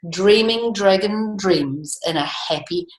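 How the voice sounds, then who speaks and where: A woman's voice: medium pitch, slow. A woman reading a children's story expressively, with chatty explanations and questions to her listeners. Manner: conversational, explaining, asking tag questions. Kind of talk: expressive read-aloud with chatty asides. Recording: echoey room.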